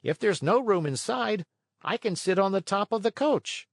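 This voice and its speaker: Man as a cocky high-voiced mischievous boy. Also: lively intonation, normal speed, vocal fry, no echo, clean studio sound, exaggerated voice. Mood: urging, eager. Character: brash, carefree schoolboy tempter, eager for mischief. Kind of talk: storybook character voice.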